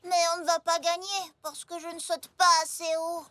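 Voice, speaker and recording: A performer voicing a young, easily discouraged zebra child in an expressive children's cartoon style. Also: extremely high pitch, normal speed, no room sound. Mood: doubtful.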